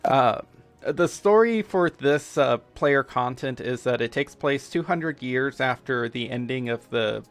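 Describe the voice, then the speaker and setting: US gamer, Spanish first language, gravelly, mid-pitched; rambling let's-play chat over quiet game music. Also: male voice. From United States. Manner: halting, full of fillers and restarts. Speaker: gamer streaming a playthrough. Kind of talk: casual let's-play commentary.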